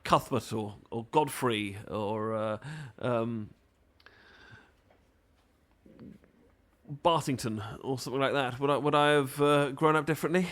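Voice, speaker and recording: A man's voice: medium pitch, moderate pace, fairly bright tone, lightly acted. A man with a British accent playing a nervous, apologetic, bookish romantic lead in an audio roleplay, stammering and rambling hesitantly. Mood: musing, awkward.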